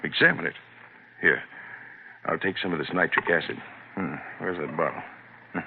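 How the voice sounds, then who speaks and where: A man's voice: deep voice, normal speed, gravelly, breathy edge, full character voice. An actor playing a confident, dismissive professor who lectures his wife, in a 1970s radio drama. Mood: lecturing. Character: confident rational male professor, husband. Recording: tinny lo-fi old radio broadcast.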